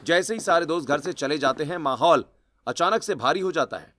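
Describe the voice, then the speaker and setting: High-pitched man narrating a dramatic story. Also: animated, fairly quick, fairly bright tone, slight background noise.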